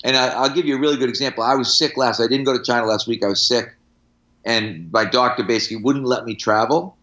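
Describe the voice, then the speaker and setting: Bright-voiced male strength coach, slightly dull audio. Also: mid-range voice, fast talker. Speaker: strength coach, podcast guest. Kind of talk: casual podcast talk with anecdotes.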